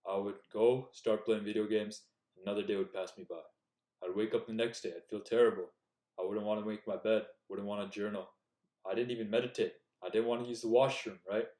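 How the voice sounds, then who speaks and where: A man's voice: on the low side, fairly even intonation, fairly quick, warm tone. A young man giving earnest, direct self-improvement advice in a casual talk to the camera. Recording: echoey room.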